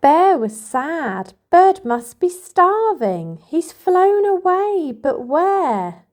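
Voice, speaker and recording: A woman reading a picture book aloud to toddlers in an expressive, sing-song storytelling manner. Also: very high voice, very slow. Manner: expressive, sing-song, addressing young children.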